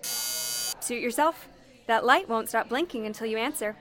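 An actress playing a brisk, needling woman operator in a period radio play. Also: a little high, crowd noise, lightly acted. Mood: snippy.

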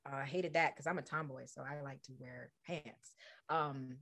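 A woman around thirty in casual chat, expressive and playful, laughing often. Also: extremely low pitch, normal speed. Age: 30s.

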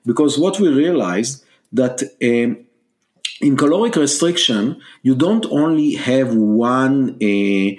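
A man's voice: medium pitch, expressive, a little mellow. Israeli-accented man, hesitant with fillers. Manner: measured, hesitant, many "uh" fillers. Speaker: scientist and company co-founder, guest. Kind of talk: podcast interview.

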